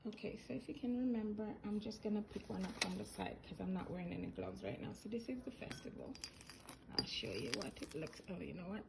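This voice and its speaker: A woman's voice: mid-range voice, big pitch swings, a little fast, vocal fry. A Jamaican woman with a Jamaican accent, chatting casually through a cooking vlog as she explains each step while filming on a handheld phone in her home kitchen. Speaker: home cook selling meals. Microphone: hand-held phone. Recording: kitchen phone recording with loud cooking noise. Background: loud boiling and cooking sounds.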